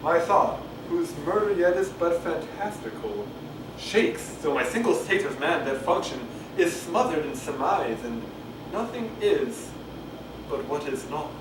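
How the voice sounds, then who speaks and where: A man doing a halting, rambling, unrehearsed read-aloud of Shakespeare, stumbling and going back over lines. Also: on the high side, lively intonation. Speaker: amateur reader of a play. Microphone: camcorder microphone at a distance. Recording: roomy, distant camcorder mic with clear noise.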